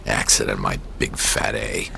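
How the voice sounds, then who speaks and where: An actor in a radio drama playing a wary, sardonic jazz pianist who talks in a curt, gravelly voice. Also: male voice, very deep voice, little pitch movement, slow, pushed and bright, slight background noise, exaggerated voice. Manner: curt, sarcastic, suspicious.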